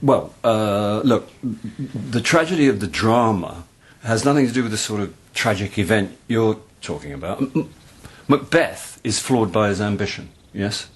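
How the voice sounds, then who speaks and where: An actor playing a jaded middle-aged English literature tutor in a radio drama, husky, hesitant and drily witty. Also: male voice, on the low side, expressive, moderate pace. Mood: explaining.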